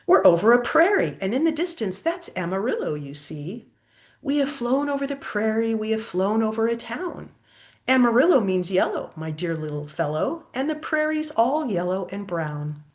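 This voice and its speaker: Woman acting a female eagle guide, confident, helpful, storybook, animated pitch. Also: moderate pace. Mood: explaining. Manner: assured, explaining, inviting.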